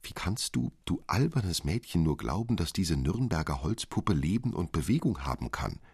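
Sensible fairy-tale mother read by a man, chiding, matter-of-fact, husky, close dry sound. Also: deep voice, sing-song, moderate pace, darkish tone, no echo, heavily acted. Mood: dismissive.

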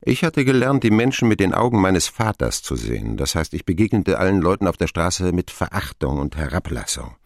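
An actor playing a grown man who wryly recalls his boyhood, narrating a radio drama in an expressive, sardonic manner. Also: sits low, fairly quick, hardly any pauses, no room sound, studio quality. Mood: downcast.

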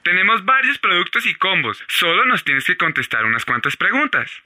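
A man's voice: high voice, big pitch swings, normal speed, bright, forceful tone, full character voice. A man playing a slick, eager salesman in a radio soap. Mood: salesy.

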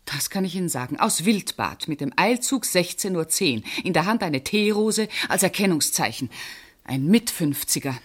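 An actress playing a pointed, suspicious witness under questioning in a radio crime drama. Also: female voice, fairly low pitch, animated, moderate pace, slightly rough. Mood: agitated, accusing. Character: pointed, suspicious female witness, friend of the victim. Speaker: actor.

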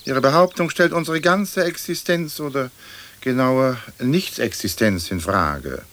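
An actor playing the dignified, ironic ghost of an old English lord in a radio play, speaking in ornate, formal phrases. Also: male voice, fairly low pitch, fairly bright tone. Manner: pompous, ornate, formal diction. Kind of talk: radio drama acting.

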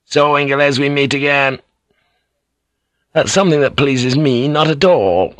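Male narrator as swaggering Portuguese captain-general in an adventure audiobook: hot-tempered, forceful, raised pitch, dry sound. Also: a little slow, dry recording, natural voice. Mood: menacing. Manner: heated, forceful delivery.

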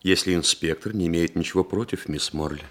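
A male actor playing a composed, thoughtful doctor turned detective in a Russian radio play, speaking in a deliberate, measured way. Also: low voice, fairly bright tone. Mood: composed, firm. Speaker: lead actor, also voicing his thoughts.

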